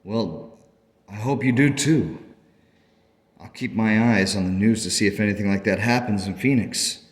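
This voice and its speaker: A man playing a grateful, thoughtful father in a radio play, speaking in a measured, sincere and reflective way. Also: lowish voice, natural voice. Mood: warm, curious. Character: grateful, thoughtful father telling his strange story. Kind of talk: radio play dialogue and first-person narration. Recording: long hall-like reverb.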